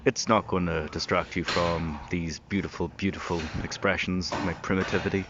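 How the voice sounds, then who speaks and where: A man's voice: low voice, fairly even intonation, moderate pace. A man doing voice-over commentary with dry, self-mocking humor. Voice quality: husky. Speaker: vlogger. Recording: dull lo-fi voice-over with noise.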